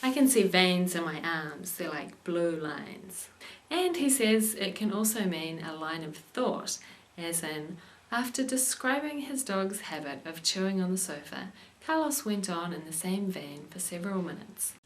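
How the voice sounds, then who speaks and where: A young woman hosting an English lesson show and reading the news in a clear, steady way. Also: fairly low pitch, a little slow. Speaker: English teacher and show host.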